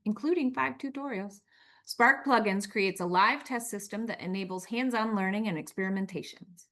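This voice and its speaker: A woman's voice: mid-range voice, a bit bright. A woman hosting an awards announcement, reading an upbeat scripted commendation. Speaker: event host announcing winners. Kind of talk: read announcement.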